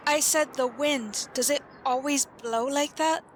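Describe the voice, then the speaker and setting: A woman playing a gentle, uneasy, wistful companion in a retro radio drama. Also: high voice, unhurried, slight background noise. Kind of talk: retro 1940s-style radio drama acting.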